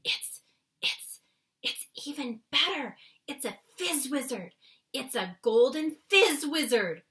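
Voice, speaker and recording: A woman voicing a big friendly male giant from a children's storybook, excitable and emphatic, with quaint muddled grammar. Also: sits high, takes it slowly, pitched above the performer's own voice, exaggerated voice. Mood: thrilled. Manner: quaint muddled grammar with invented nonsense words; exclamatory, emphatic delivery.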